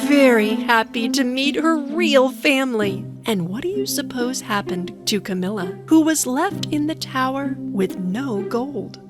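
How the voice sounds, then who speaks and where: Casting: female fairy tale narrator, expressive, higher-pitched, very animated intonation. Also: unhurried, few pauses, over music. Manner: expressive storytelling. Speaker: storyteller, narrator.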